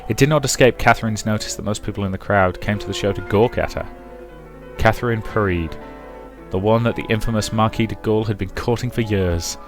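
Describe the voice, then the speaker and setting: A man's voice: on the low side, a little fast, music in the background. A man narrating a visual novel playthrough, reading the story aloud and adding casual commentary, with a rambling way of talking. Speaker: let's player and narrator.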